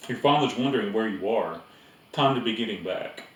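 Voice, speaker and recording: A man's voice: on the low side, animated, fairly quick, echoey room, far from the mic, natural voice, rough recording. A man voicing a knowing, self-assured farm girl with a confident, matter-of-fact manner in a storybook. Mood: kindly.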